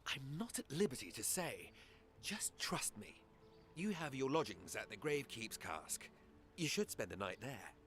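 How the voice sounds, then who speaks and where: An actor playing a secretive elf mage in a video game, suave, arch and evasive. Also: male voice, mid-range voice, very animated, unhurried, a bit bright, slight background noise. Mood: guarded. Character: sly, secretive male elf court mage. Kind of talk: video game cutscene acting.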